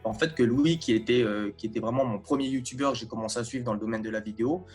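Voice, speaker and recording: A man's voice: mid-range voice, quick pace, slightly rough, soft background music. A man from New Caledonia giving an unscripted testimonial as a student.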